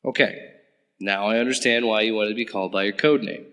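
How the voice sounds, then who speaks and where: An actor playing a sarcastic, flustered young government agent in an audio drama. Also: male voice, lowish voice, steady tone, a little fast, a bit bright, smooth, long reverb tail.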